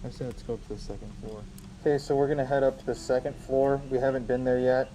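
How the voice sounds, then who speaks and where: A man's voice: medium pitch, fairly even intonation, quick pace, warm tone, echoey room, a little hiss or hum, rough recording. A man talking casually on location as the host of a paranormal investigation. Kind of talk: casual on-location ghost hunt talk. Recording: clear music over location video audio.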